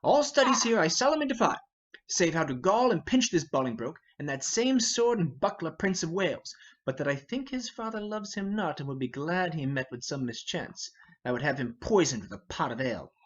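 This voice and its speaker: A man's voice: on the high side, sing-song, a little fast, fairly bright tone, lo-fi sound. A man playing a hot-headed, impatient young nobleman, ranting in a declamatory Shakespearean stage reading. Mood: defiant. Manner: impetuous, declamatory. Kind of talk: dramatic reading of a Shakespeare play.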